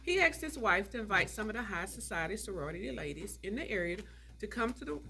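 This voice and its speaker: A woman's voice: mid-range voice, lively intonation, quick pace, sharp tone. A woman reading the part of a haughty, tart, nostalgic older society lady in a stage play. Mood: storytelling. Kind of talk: stage play table read.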